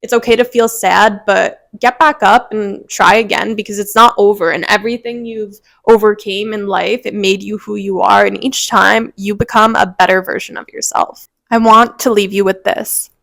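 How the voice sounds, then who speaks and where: A woman's voice: mid-range voice, fairly even intonation, moderate pace, creaky, hardly any pauses, a bit bright, dry recording, low-quality recording. A woman hosting a motivational podcast, talking earnestly and encouragingly straight to her listeners, a little congested. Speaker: podcast host.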